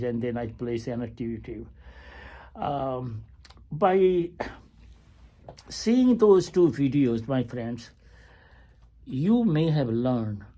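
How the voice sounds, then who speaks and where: A man in his eighties, a retired family physician, talking slowly and haltingly in a personal video diary from a hospital waiting area, with many pauses and fillers. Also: mid-range voice, mellow. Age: 80s.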